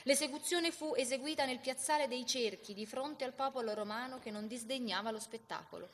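A woman's voice: sits high, a little fast, long reverb tail. A woman giving dramatic scripted historical narration as a stage narrator.

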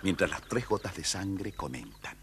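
A man's voice: sits low, animated, normal speed, vocal fry, very noisy. A man with an Argentine accent narrating a scripted children's story as the storyteller.

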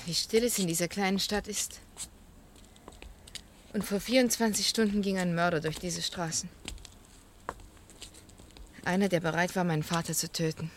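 An actress playing a cool, resolute grieving daughter with a controlled, firm delivery in a radio crime drama. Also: female voice, fairly low pitch, steady tone, moderate pace, long pauses, slight background noise, natural voice. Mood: subdued, grave. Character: cool, resolute pianist, murdered man's daughter.

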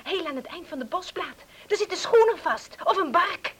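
A woman's voice: very high-pitched, expressive, normal speed, fairly bright tone. An actress playing an eager, pleading young country girl in a radio drama. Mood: excited, urgent. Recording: hissy old radio play recording.

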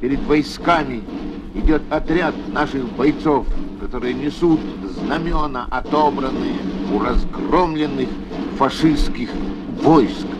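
A man's voice: mid-range voice, measured pace, heavy background noise. A man delivers solemn, dramatic scripted documentary narration for children, with emphatic rhetorical phrasing.

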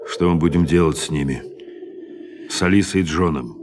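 Actor as very deep, grave spaceship captain. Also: male voice, moderate pace, lightly acted. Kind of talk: Soviet radio drama acting. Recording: ambient noise behind the voice.

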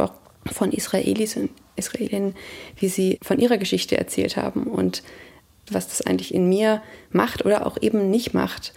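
A woman of about thirty speaks in a reflective interview register. Her voice is strongly creaky and on the dark, mellow side. She picks her words thoughtfully as she gives a personal account. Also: mid-range voice. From Germany. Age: 30s.

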